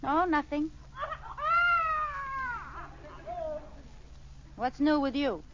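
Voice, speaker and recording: An actress playing a nosy, scatterbrained suburban housewife in a 1940s radio sitcom, theatrical and mock-innocent. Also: female voice, high voice, normal speed, natural voice. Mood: evasive. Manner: theatrical, coy, mock-innocent comic delivery. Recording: muffled, noisy old radio broadcast recording.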